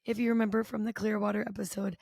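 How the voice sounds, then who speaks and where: A woman in her twenties, a podcast guest, hoarse with her voice almost gone, speaking casually and a bit haltingly. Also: medium pitch, level tone, darkish tone, no echo, clean studio sound. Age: 20s. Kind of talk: casual chat.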